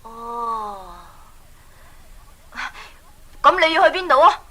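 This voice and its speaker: High-voiced earnest young hero. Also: female voice, expressive, very slow, sharp tone, full character voice. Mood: grateful, earnest. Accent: Hong Kong Cantonese. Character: brave, humble young male adventurer hero. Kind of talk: 1980s children's radio drama acting.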